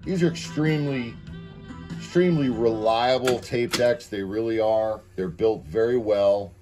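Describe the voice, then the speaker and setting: Male demo presenter, lower register, casual and unscripted, clear music behind the voice. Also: a little slow. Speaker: presenter demonstrating audio equipment. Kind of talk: casual, unscripted product demonstration. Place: indoor room at home, beside a tape deck.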